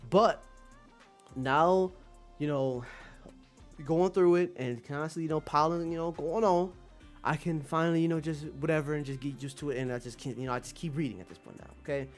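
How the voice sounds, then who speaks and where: A man's voice: a little high, brisk, soft background music. A man talking casually to his viewers as a streamer, rambling in an emphatic, slangy way and repeating phrases for emphasis. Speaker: streamer and manga commentator.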